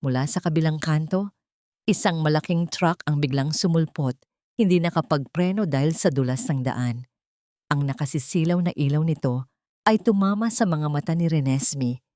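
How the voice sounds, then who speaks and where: Low-voiced woman narrating a dramatic story. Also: moderate pace, warm tone, dry recording, clean studio sound.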